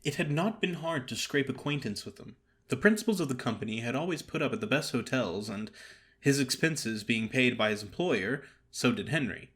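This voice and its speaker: Guy reading an old comic story out loud, actorly, formal, really animated pitch swings. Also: normal speed. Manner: expressive, formal storytelling delivery. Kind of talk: theatrical scripted narration.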